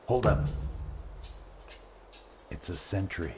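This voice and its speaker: An actor playing a cautious, weary adventurer in a fantasy audio drama, hushed and terse. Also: male voice, deep voice, animated, slow. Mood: wary, hushed. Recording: music under the voice.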